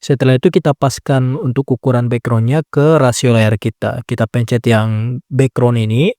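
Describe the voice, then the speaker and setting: Man in a casual tutorial voice-over, mellow and dry, easygoing step-by-step explainer. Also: mid-range voice, normal speed, no echo. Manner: relaxed step-by-step explaining, frequent "nah" and "ya kan" tags. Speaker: tutorial creator. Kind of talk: casual screen-recorded app tutorial.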